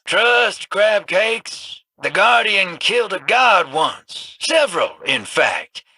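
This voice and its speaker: A wisecracking old gunslinger. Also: male voice, high voice, sing-song, slow pace, sharp tone, slightly rough, no room sound, full character voice. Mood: wry. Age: older. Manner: folksy, drawling, wisecracking. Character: cocky old male gunslinger rogue, folksy and wisecracking. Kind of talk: video game voice lines.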